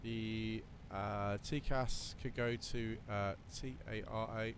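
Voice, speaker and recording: A man with a slightly croaky voice, casually talking through a flight simulator session while thinking aloud with lots of fillers. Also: on the low side, fairly even intonation, slow. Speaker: flight sim video creator. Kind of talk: relaxed running commentary while flying a simulator. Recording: voice over loud simulator cockpit noise.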